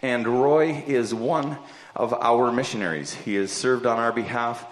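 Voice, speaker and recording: A man introducing a visiting missionary at a church service in a formal, warm way. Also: mid-range voice, unhurried, reverberant, poor audio. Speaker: church leader introducing the speaker.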